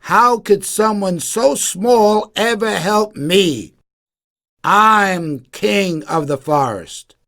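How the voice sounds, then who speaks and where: A man playing a proud, hot-tempered lion who rules the forest in a storybook, with a boastful, booming manner. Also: very slow, a bit bright, exaggerated voice. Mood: amused, scornful.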